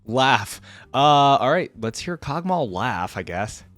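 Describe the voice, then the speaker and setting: A man hosting a reaction video, chatting casually to his viewers in an animated way and laughing often. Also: mid-range voice, slow pace, no echo, faint music behind. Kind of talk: casual chat reacting to game audio.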